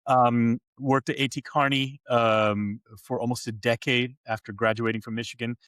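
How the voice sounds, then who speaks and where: A man's voice: mid-range voice, little pitch movement, moderate pace, a bit bright, no echo. A man in his later years who grew up in Hong Kong, answering interview questions thoughtfully with frequent restarts. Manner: thoughtful, many fillers and restarts. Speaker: podcast guest.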